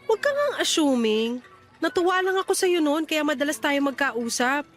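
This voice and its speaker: Radio drama heroine played by a woman: young, very high voice, curt, irritated, firmly turning a suitor away. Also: big pitch swings, normal speed, faint music behind. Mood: curt, defensive. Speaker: voice actor in a radio-style love story. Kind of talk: Filipino radio drama acting.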